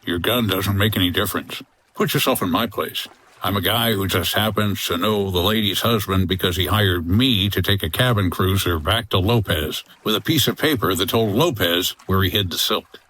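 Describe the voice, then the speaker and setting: Guy acting as tough 1950s hotel owner and skipper, low voice, wry clipped wisecracks, radio drama. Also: moderate pace, fairly bright tone, rough recording. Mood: calm, bargaining. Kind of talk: comedy audio drama acting.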